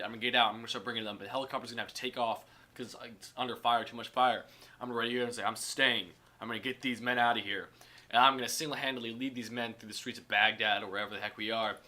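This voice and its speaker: A young man co-hosting a casual podcast with friends, telling a long animated story and laughing often. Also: medium pitch, quick pace. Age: young adult. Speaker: podcast co-host. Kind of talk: casual chat among friends.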